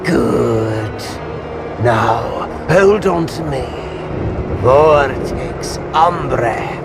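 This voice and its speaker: Sly, slow-talking sorcerer's servant. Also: male voice, medium pitch, gravelly. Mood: fawning, sly. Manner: cackling, obsequious, theatrical. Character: gleeful, sly, fawning sorcerer servant, male. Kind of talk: fantasy audio drama acting. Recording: loud music bed behind voice.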